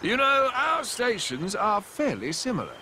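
An easygoing young swordsman prince from a game, courteous and earnest. The voice is high and bright with hugely varied pitch. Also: huge pitch swings, unhurried, slight background noise, full character voice. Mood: courteous, relaxed. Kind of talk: video game cutscene acting.

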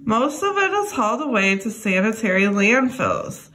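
A young adult woman reading a children's educational book aloud, addressing young listeners in a deliberate way. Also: a little high, very animated, a bit bright. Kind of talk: read-aloud children's lesson.

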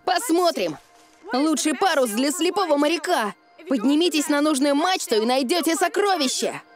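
A woman voicing a plucky young boy pirate in a cartoon dub, earnest and excitable. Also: sits high, moderate pace, faint music behind.

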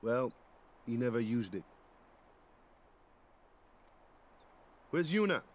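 Easygoing young island guy, lively pitch. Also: normal speed. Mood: friendly. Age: young adult. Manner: casual, folksy, slangy. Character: easygoing, big-brotherly young island ballplayer and guardian. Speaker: guardian and older friend. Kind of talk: video game cutscene acting.

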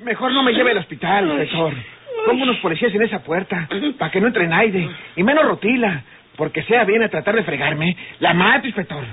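An actor playing a frightened, wounded outlaw in an old radio soap, strained and pleading. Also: male voice, high-pitched, lively intonation, a little fast. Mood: scared, threatening. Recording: tinny band-limited old radio drama.

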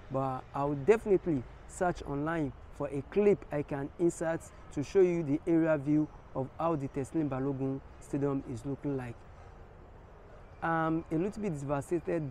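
A man's voice: a little high, mellow. An earnest Nigerian man in his thirties speaks straight to his viewers with a slightly raised voice. He is recorded outdoors at arm's length, with faint city traffic behind him. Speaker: vlogger. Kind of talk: casual vlog to camera. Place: outdoors in an empty stadium stand. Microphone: phone or camera held at arm's length.

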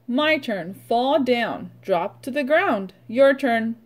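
A middle-aged woman, a preschool teacher, leading a read-aloud vocabulary lesson in an instructional way, pausing for children to repeat. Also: a little high, animated. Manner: instructional, prompting with pauses for repetition.

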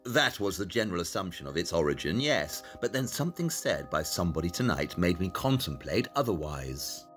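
An actor playing a brilliant, assured detective in an audio drama, speaking in a precise, formal, expository way. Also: male voice, sits low, sing-song, fairly quick, fairly bright tone, soft background music. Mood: contemplative.

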